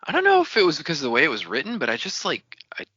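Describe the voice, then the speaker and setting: A man's voice: a little high, huge pitch swings, very fast, pushed and bright, slightly rough, dull audio. A man co-hosting a podcast, chatting casually in an offhand, understated way. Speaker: podcast co-host. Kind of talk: casual book discussion. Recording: dry, slightly band-limited sound.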